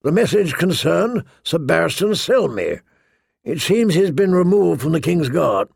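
A man voicing a gruff, grumbling old male military commander who sounds weary and disdainful. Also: fairly high pitch, big pitch swings, a little slow, darkish tone, no room sound, clean studio sound, full character voice.

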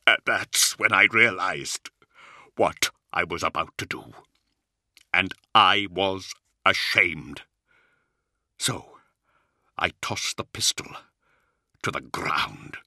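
A man voicing an anguished, bitter knight commander sliding toward madness, impassioned and full of weighted pauses, in a dramatic audiobook. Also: low-pitched, lively intonation, bright, forceful tone, no echo, clean studio sound, heavily acted. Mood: tearful confession. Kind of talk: dramatic audiobook character acting.

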